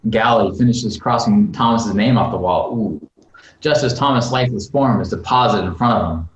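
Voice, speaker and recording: A man's voice: on the low side, normal speed. Guy narrating screenplay directions over zoom, kinda echoey, jokes around a bit. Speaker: screenplay narrator at a table read. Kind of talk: reading script stage directions. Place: remote video call. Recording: roomy video-call audio.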